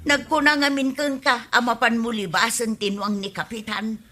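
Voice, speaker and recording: A woman's voice: on the high side, fairly even intonation, moderate pace, bright, forceful tone. A woman playing an anxious, emotional village wife in a Filipino radio comedy drama, with an Ilocano accent. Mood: subdued, concerned.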